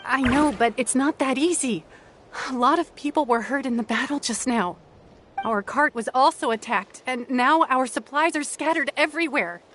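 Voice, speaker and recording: High-voiced, weary supply worker. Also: female voice, normal speed, fairly bright tone, faint music behind. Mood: worried. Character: weary, conscientious young woman guarding medical supplies. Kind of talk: video game voice acting.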